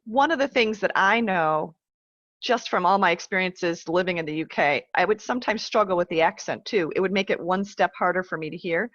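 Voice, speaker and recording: A woman's voice: fairly low pitch, a little fast, fairly bright tone, no echo, highs cut off. Apologetic woman moderating a video conference. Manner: apologetic, friendly interruptions. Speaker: event moderator.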